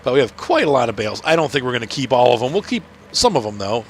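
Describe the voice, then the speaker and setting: A man's voice: medium pitch, very animated, quick pace. Middle-aged male host, nasal with a cold, rambling casual game commentary, faint noise behind. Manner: rambling, chatty, many fillers and restarts. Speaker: gaming channel host. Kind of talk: casual commentary while playing.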